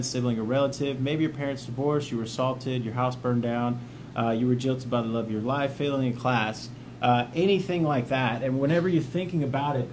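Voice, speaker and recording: A man speaking in a casual, plain-spoken, encouraging way, giving informal instruction partly read from notes, as the host of a vlog. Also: mid-range voice. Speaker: vlog host, peer mentor for trauma coping. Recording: clearly noisy ambient background.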